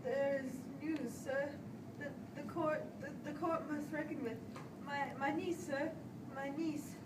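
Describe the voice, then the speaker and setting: A woman's voice: high-pitched, fairly even intonation, a little slow. A woman acting an anxious, distraught Puritan minister in an amateur play, pleading and deferential with a stammer. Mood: nervous, hesitant. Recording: echoey room, far mic, hissy noise.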